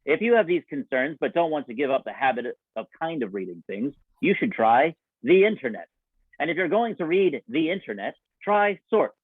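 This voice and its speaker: A man announcing and narrating from a script in old-time radio style, with a theatrical, deliberate delivery. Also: a little high, very animated, breathy edge, no echo. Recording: dull, band-limited radio broadcast sound.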